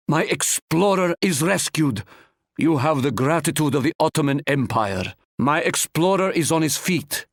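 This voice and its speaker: A man's voice: a little high, dry recording, clean studio sound. An actor voicing a proud, stately Ottoman sultan in a video game, speaking formally and quoting proverbs. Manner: formal, measured, fond of proverbs.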